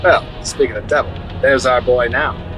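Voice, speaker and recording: An actor playing a brash, crude, sardonic investigator in an audio drama, wisecracking and condescending. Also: male voice, medium pitch, fairly even intonation, moderate pace, music in the background, lightly acted, poor audio.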